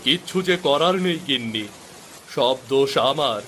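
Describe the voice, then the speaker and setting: A man's voice: medium pitch, lively intonation, normal speed, a bit bright, a little hiss or hum, close to an everyday voice. A man playing a weary, remorseful poor village husband and father in a cartoon story, speaking plaintively. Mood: resigned, remorseful. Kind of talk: Bengali cartoon story voice acting.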